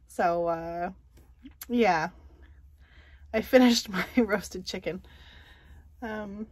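A woman vlogger chatting casually about her stitching projects, soft and unhurried, with many ums and pauses and light self-deprecating humor. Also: mid-range voice, dry recording. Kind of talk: casual show-and-tell chat.

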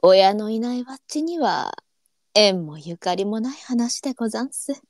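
A woman's voice: a little high, animated, normal speed, darkish tone, dry recording, clean studio sound. A woman playing a wistful orphaned courtesan in a Japanese period audio drama. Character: wistful orphaned courtesan speaking archaic quarter dialect.

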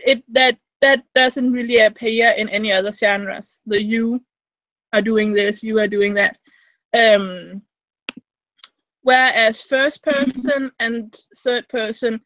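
Young danish woman, higher voice, rambly, on a webcam call in a small room. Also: no room sound, rough recording. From Denmark. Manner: rambling, frequent restarts and fillers. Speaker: interviewee, fan fiction writer. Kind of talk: casual video call interview. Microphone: webcam mic on a video call.

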